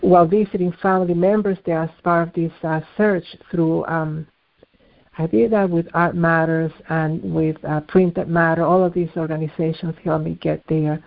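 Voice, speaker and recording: A man from the Dominican Republic, an artist being interviewed, speaking in a measured, searching way and often restarting his words. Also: on the high side, fairly even intonation, creaky. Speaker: artist guest. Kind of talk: reflective interview talk. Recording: tinny phone-line audio.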